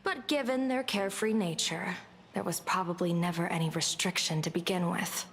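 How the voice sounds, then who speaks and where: A woman's voice: lowish voice, a little hiss or hum, lightly acted. Woman playing a composed, shrewd diviner. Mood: probing. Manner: measured, formal, probing. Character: composed, shrewd female diviner, coolly interrogating. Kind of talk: anime game voice acting.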